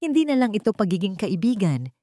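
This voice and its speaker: A woman narrator reading a scripted romantic drama story in measured, short phrases. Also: medium pitch, very animated, warm tone, dry recording, clean studio sound.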